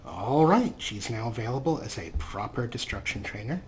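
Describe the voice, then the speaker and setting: Wry man with lively, swooping pitch. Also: normal speed, faint music behind. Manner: wry, self-deprecating. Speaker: let's play host. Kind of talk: casual gameplay commentary.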